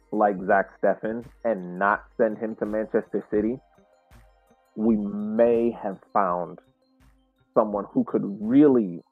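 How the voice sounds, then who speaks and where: A man's voice: fairly low pitch, dry recording. Man on a thin, phone-like line. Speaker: podcast co-host. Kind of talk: conversational sports discussion. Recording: narrow phone-like sound, faint music.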